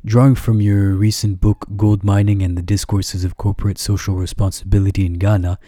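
Podcast host, man, measured and formal, mellow tone in a dry room. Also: on the low side, level tone, no echo. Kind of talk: scripted interview questions.

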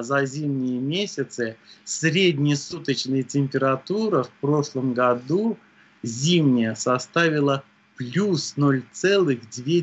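A man answering interview questions about weather observation, speaking in a measured way with frequent hesitations. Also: medium pitch, fairly bright tone, highs cut off. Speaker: meteorologist guest.

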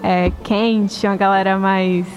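A woman's voice: medium pitch, flat delivery, slow pace, background noise. A woman hosting a casual podcast, asking questions in an easygoing, slightly hesitant way. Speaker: podcast host.

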